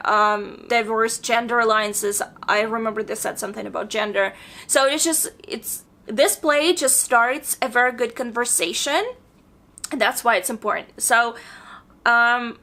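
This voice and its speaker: A woman talking through her reading in a relaxed vlog from home, her voice fraying as she loses it. Her tone is bright and gravelly with plenty of fry, pitched a bit high, and she fills her sentences with "like" and "um". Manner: chatty, with frequent "like" and "um". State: losing her voice. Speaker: book vlogger. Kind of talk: casual book review vlog. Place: home apartment.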